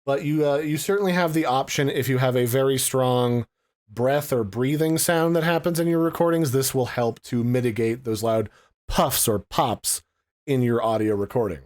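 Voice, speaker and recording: A man's voice: mid-range voice, moderate pace, a little mellow. A man giving a conversational, informal product review, explaining things in a casual unboxing style.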